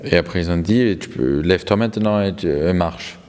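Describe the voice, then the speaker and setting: A man's voice: deep voice, brisk, a little mellow. A man interpreting a testimony live, hesitant, repeating and rewording phrases as he goes. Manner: hesitant, repeats and reformulates words. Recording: long hall reverb.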